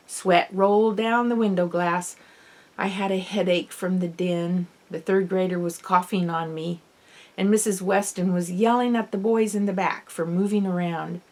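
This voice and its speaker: A woman's voice: on the low side, moderate pace, darkish tone. Woman narrating a children's audiobook expressively. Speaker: author reading own novel. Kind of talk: audiobook narration of children's fiction, first person.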